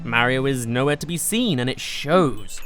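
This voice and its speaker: Animated video reviewer over music. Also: mid-range voice, moderate pace. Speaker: video game reviewer, host. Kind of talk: scripted countdown review narration.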